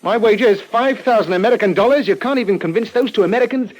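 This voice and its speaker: Casting: a British spiv, higher-voiced, furtive and needling, classic radio play. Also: male voice, quick pace, lo-fi sound. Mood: goading. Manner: conspiratorial, wheedling, goading. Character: shifty British spiv, conspiratorial small-time crook. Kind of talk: old-time radio drama acting.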